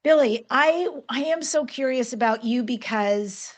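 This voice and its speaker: A woman's voice: on the high side, unhurried. A woman from New York working as a podcast host, speaking directly and with emphasis. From New York, United States. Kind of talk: podcast hosting, announcements and interviewing.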